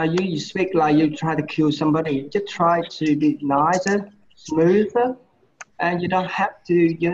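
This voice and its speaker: A middle-aged man with a Vietnamese accent talks from his home over a video call, his voice a touch high. He stops and restarts a lot and fills gaps with "you know". The audio is dull and lo-fi with a faint hiss behind it. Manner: halting, many restarts and "you know" fillers. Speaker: medical teacher giving feedback. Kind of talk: online class feedback, mixing Vietnamese and English. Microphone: laptop or webcam mic on a video call.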